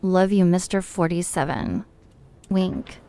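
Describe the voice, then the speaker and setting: A woman's voice: fairly low pitch, slow pace, warm tone. A woman's synthetic-sounding voice reading out stream chat messages with theatrical intonation.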